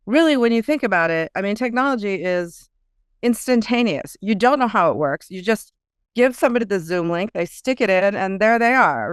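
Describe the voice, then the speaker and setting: A woman speaking casually as an author being interviewed, telling things in an animated storyteller's way. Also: medium pitch, smooth, no room sound, studio quality. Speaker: author guest.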